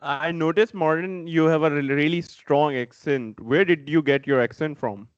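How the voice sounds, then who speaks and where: A man hosts a company podcast with friendly, upbeat energy and slightly unidiomatic phrasing. His voice is smooth and resonant. The recording sounds a little muffled and dry, with no room echo. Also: a little high, moderate pace. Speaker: podcast host, company CMO.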